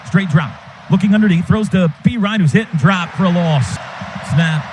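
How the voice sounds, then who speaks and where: A man doing live radio play-by-play of a football game in clipped, vivid, excitable calls. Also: sits high, moderate pace, poor audio. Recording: radio broadcast over loud stadium noise. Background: stadium crowd noise.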